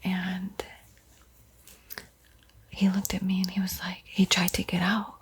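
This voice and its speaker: A woman giving an ASMR art tutorial in a breathy whisper, with a soft, unhurried, playfully eerie and teasing manner. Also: fairly low pitch, monotone. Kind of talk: whispered ASMR painting tutorial with spooky roleplay.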